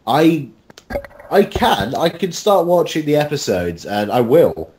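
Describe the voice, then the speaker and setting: A man chatting casually as a cast member in a group interview, halting and self-deprecating. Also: fairly high pitch, very animated, a little mellow, a little hiss or hum. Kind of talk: casual chat.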